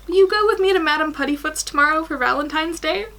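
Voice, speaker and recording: Flustered teenage wizard boy for story reading female reader, defensive, pleading, very high and sharp. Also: fast. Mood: hopeful. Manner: pleading, protesting.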